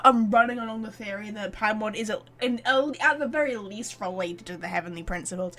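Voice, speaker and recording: A woman's voice: mid-range voice, a bit bright. A woman streaming a video game with casual, chatty, self-deprecating live commentary.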